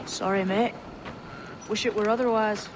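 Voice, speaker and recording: A man voices a blunt assassin from a game, stern yet amiable. There is clear ambient noise around the voice. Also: sits high, steady tone, moderate pace, lightly acted. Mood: apologetic. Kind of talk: video game cutscene acting. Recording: clearly noisy outdoor ambience.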